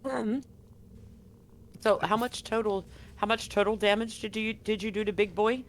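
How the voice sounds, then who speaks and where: A game master running a live tabletop horror game, joking with players and slowing down to narrate scenes. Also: mid-range voice, a little hiss or hum. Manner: relaxed, joking, slows for vivid scene narration. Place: home setup, streamed live.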